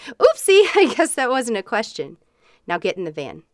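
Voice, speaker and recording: An actress playing a falsely cheerful, sinister corporate official running trials in a comic sci-fi radio play. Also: female voice, on the high side, huge pitch swings, normal speed, dry recording. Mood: perky menace. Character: chirpy sinister corporate woman running inhumane product trials.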